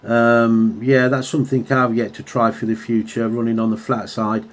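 A man's voice: mid-range voice, little pitch movement, normal speed. A man chatting casually over CB radio as a hobbyist, full of radio jargon. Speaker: radio hobbyist and video maker. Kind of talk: casual CB radio contact chat. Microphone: handheld radio microphone.

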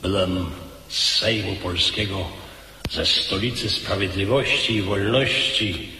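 A proud, grave old-world commander in a radio drama. He declaims solemnly in a low, forceful voice, taking his time, in an echoing hall. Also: takes it slowly, full character voice. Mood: solemn pride. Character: proud, grave Polish commander, sorrowful and loyal. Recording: echoey hall effect with faint noise.

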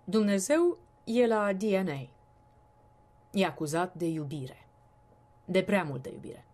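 A woman with a breathy, husky voice giving an expressive recitation of poetry. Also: on the low side. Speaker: poetry reader.

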